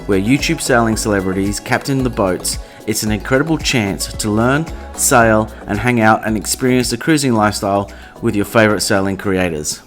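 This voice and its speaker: Upbeat Australian male vlog narrator, slightly low voice, light music behind. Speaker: sailing channel host. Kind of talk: scripted voice-over narration.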